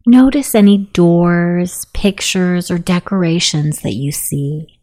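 A woman leading a guided meditation in a soothing, hushed manner with long pauses. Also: fairly low pitch. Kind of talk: guided meditation, self-hypnosis narration.

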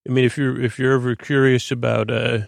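A man hosting a bedtime podcast in a creaky, lulling voice, droning along in a halting, stammering, meandering monologue meant to help listeners sleep. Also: mid-range voice, little pitch movement, no room sound, clean studio sound. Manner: halting, stammering, repeats and plays with words. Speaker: podcast host.

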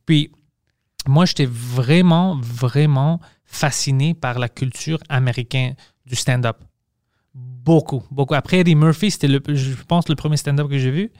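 Smooth-voiced man chatting. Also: mid-range voice, unhurried, darkish tone, no echo, clean studio sound. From Montreal, Quebec. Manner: loose and chatty, frequent restarts and English slips. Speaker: podcast host. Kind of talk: casual conversational chat.

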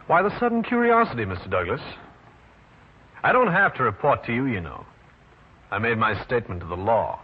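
An actor playing a tough, wry American private detective in a 1940s radio drama, clipped and dry. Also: male voice, mid-range voice, big pitch swings, fairly quick, pauses often, breathy edge. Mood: cool, guarded. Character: tough, cool, wry New York private detective man. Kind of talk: 1940s radio detective drama, first-person narration and dialogue. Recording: muffled, noisy old radio recording.

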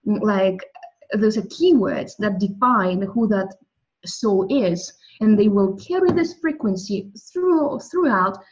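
A woman's voice: medium pitch, expressive, takes it slowly, highs cut off. Woman musing on soul. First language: Russian. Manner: thoughtful, restarts often, speaks of soul and language. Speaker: guest. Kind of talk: spiritual interview conversation.

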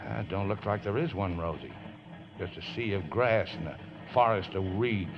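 An actor playing a warm-hearted, plucky middle-aged riverboat man with folksy working-class speech, in 1950s radio drama style. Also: on the low side, moderate pace, natural voice. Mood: grave. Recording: dull old radio recording with music.

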